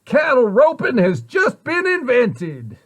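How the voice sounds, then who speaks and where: A man reading a children's story aloud in an expressive way, with deliberate, dramatic emphasis. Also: very high voice. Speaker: storybook reader, site director at a children's center.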